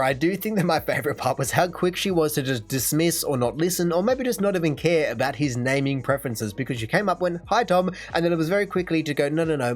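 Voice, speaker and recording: Brief: young Australian guy hosting a reaction channel, brisk patter, hedged and chatty, faint music under. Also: fairly high pitch. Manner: chatty, measured, hedging. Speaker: reaction video host. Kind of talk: casual commentary.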